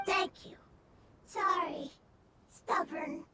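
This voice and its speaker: A woman voicing a small, innocent creature in a video game, talking in halting, clipped broken sentences. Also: extremely high pitch, very noisy, exaggerated voice. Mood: pleading. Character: small innocent mushroom creature, simple and earnest. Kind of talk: video game character acting. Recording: loud game music behind.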